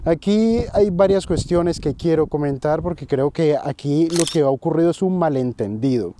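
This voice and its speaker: A Colombian man in his thirties speaks straight to camera outdoors beside a winter lake, the camera held close to his face. His voice sits high and sounds very warm and mellow. He explains his point earnestly and addresses his viewers directly. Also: moderate pace, slight background noise. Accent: Colombian Spanish. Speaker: finance vlogger. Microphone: handheld camera close to face.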